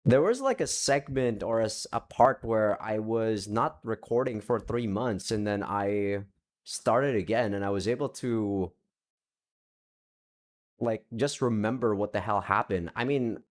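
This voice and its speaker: Young male let's-play creator, lowish voice, chatty, meandering, casually profane. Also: unhurried. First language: not Japanese. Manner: rambling, self-interrupting, swears casually. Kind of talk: casual rambling update to viewers. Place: home room with several monitors.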